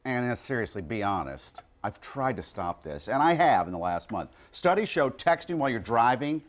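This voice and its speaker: A middle-aged man news anchor in a TV studio, bantering and cracking jokes. His voice swings freely up and down at a mid pitch. A lapel mic picks him up close. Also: moderate pace. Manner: joking, lively anchor banter.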